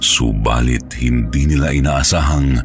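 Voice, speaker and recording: A man's voice: rumbling low, unhurried, over music. A man narrating a scripted horror story as its storyteller.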